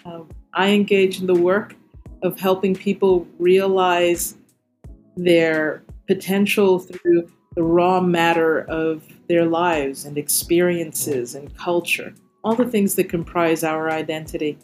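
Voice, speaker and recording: Middle-aged American woman, interview guest, slightly low voice, measured and articulate, faint music underneath. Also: fairly even intonation, a little mellow. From New York City, United States. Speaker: interview guest, corporate diversity executive. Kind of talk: reflective personal talk in an interview.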